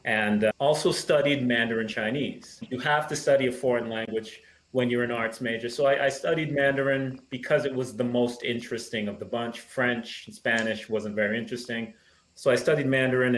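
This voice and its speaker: A man in his thirties with a subtle blend of Caribbean and American accent talks in an easygoing, reflective way. He pauses often with small fillers. The room sounds echoey, with a faint hum behind him. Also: mid-range voice. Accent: mild Caribbean-American mix. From Barbados. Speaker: screenwriter guest. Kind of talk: relaxed interview conversation.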